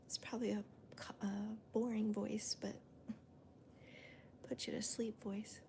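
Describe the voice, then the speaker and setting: A woman hosting a crochet video in a soft, whispery voice, calmly counting stitches aloud as she works. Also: mid-range voice, takes it slowly, creaky. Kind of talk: soft-spoken crochet demonstration and chat.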